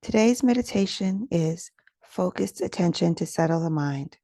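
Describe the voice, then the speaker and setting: A woman leading a guided meditation as its teacher, speaking in a gentle, soothing, instructional manner with soft pauses. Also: on the low side, lively intonation, dry recording, clean studio sound.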